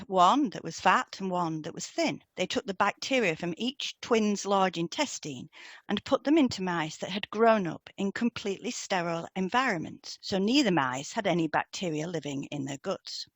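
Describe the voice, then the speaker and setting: A woman's voice: mid-range voice, no echo. Woman presenting a lesson, dry sound. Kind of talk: scripted educational narration.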